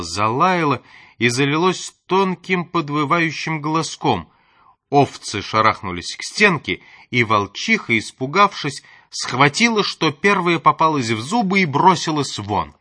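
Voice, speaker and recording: A man reading a story aloud as an audiobook narrator, with expressive, theatrical and varied delivery. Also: mid-range voice, dry recording.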